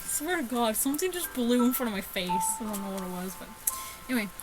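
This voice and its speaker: A woman hosting a gaming video, reading a visual novel aloud in a casual, halting way with stumbles and asides. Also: a little high, lively intonation, over loud music, low-quality recording. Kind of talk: casual chat and read-aloud let's play.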